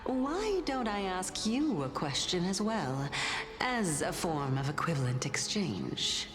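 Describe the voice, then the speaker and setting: A composed, skeptical scholar from a video game, in a low voice. The delivery is slow, formal and reserved, each thought measured out. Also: female voice, very animated. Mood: thoughtful, probing. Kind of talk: scripted game dialogue acting.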